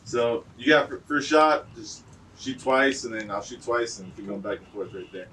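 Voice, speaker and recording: A man's voice: medium pitch, lively intonation, normal speed. A man hosting a casual beer pong chat, laid-back and slangy, teasing his guest. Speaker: host. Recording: distant mic with loud background noise.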